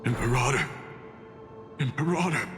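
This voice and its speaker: A man voices a boastful, eager soldier in a video game drama, craving approval. His mid-pitched voice rings through a reverberant hall with music behind it. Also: animated, normal speed, lightly acted. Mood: desperate eagerness. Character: eager, boastful male soldier seeking his ruler's praise.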